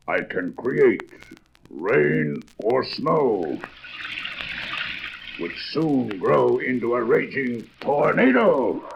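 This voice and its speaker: Grand, slow-speaking thunder god. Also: male voice, mid-range voice, creaky. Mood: awed, proud. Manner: grandiose, declamatory delivery. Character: mighty thunder god hero, grand and resolute. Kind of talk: comic book audio drama acting. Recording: noisy, dull old record with clicks.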